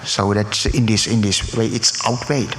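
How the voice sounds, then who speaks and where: A man from Slovakia with a Slovak accent speaks on a conference panel, halting and full of filler sounds and restarts. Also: lowish voice, fairly even intonation, a bit bright. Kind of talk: academic panel talk. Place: conference hall. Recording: echoey hall recording.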